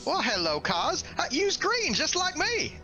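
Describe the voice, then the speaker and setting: A man's voice: very high-pitched, huge pitch swings, measured pace, bright, forceful tone, faint music behind, pitched above the performer's own voice, heavily acted. A man voicing a cheerful old swamp lizard grandpa with a folksy Southern accent, in a tabletop game. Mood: delighted, friendly. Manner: folksy, neighborly drawl, eager.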